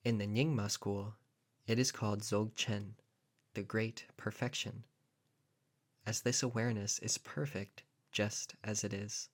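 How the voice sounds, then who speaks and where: Low-voiced man guiding a meditation. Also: fairly even intonation. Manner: measured, deliberate phrasing with pauses. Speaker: meditation teacher. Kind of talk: guided meditation narration.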